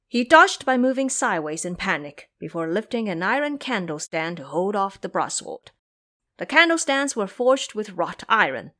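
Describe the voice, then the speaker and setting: A woman's voice: medium pitch, fairly bright tone. A woman narrating a scripted fantasy story in a dramatic storytelling style.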